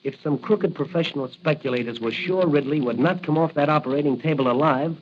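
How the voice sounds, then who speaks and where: An actor playing an earnest, confident young rookie policeman in a 1940s radio drama, brisk and explanatory. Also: on the high side, natural voice. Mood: earnest, urgent. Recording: muffled, noisy old radio recording.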